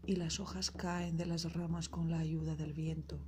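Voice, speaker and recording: A woman narrating a scripted guided meditation in a soothing, soft, breathy and unhurried manner. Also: deep voice, flat delivery. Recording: loud percussive meditation music behind voice.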